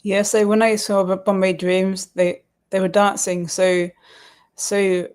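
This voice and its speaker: A guest chatting casually over a call, talking haltingly and repeating words while recalling things. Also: medium pitch, little pitch movement, a little mellow, clear, resonant tone. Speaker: guest on a stream. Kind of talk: casual chat.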